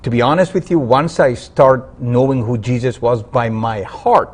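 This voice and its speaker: A man from Afghanistan, a guest on a religious talk program, telling his conversion story earnestly, halting with fillers and restarts. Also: mid-range voice. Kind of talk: interview testimony.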